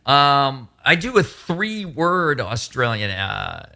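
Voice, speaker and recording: A man from the American Midwest hosting a casual podcast, upbeat and quick to laugh. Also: medium pitch, very animated, sharp tone. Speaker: podcast host.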